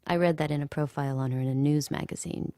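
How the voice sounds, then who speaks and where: Wry handmaid narrator, lowish creaky woman's voice, reflective audio drama storytelling. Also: steady tone, fast, mellow, dry recording. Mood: wry recollection. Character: handmaid narrating her captive life, wry and watchful. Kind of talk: intimate first-person audio drama narration.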